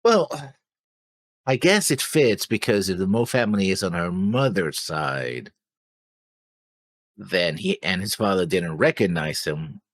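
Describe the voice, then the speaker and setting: A man's voice: low-pitched, animated, measured pace, pauses often, no room sound. A man co-hosting a reaction show, thinking aloud in casual talk and often trailing off. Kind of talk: casual discussion.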